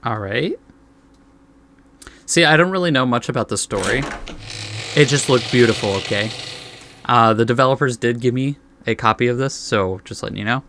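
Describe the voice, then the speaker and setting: Male gaming host, medium pitch, casual, laid-back, reading game story aloud, informal commentary. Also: moderate pace, pauses often, sharp tone, a little hiss or hum. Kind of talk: casual let's play, reading story text aloud with reactions.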